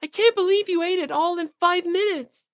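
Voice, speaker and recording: A woman's voice: very high voice, normal speed, no room sound, clean studio sound, pitched above the performer's own voice. A woman voicing a sweet, earnest, delicate teenage boy in an audiobook. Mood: awed, moved. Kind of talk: audiobook character acting.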